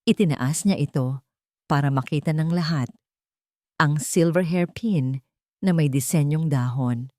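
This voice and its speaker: A woman with a Filipino accent reads a scripted romance story in an emotive, deliberate, dramatic way. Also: deep voice, darkish tone, no echo, clean studio sound. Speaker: story narrator.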